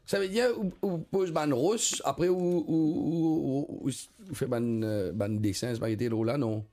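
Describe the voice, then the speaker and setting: A man's voice: fairly high pitch, a little slow, mellow. A man with a Mauritian accent hosting a casual, chatty radio phone-in, teasing informally and repeating himself. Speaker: radio host.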